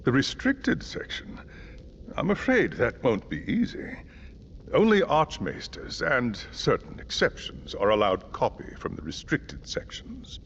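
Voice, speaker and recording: An actor playing a fussy, courteous maester in a video game, speaking formally in a creaky voice. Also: male voice, medium pitch, wildly swooping pitch. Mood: politely fussy. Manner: fussy, formal, measured politeness. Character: fussy, courteous older maester, a male scholar. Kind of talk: video game cutscene acting. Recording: dull audio with faint ambient noise.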